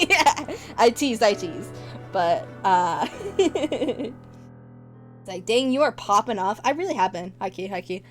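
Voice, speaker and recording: A woman's voice: fairly high pitch, sing-song, takes it slowly, faint music behind. Playful, giggly woman streamer. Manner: playful, teasing, laughs often, says y'all. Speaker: livestreamer. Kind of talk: casual stream chat with viewers.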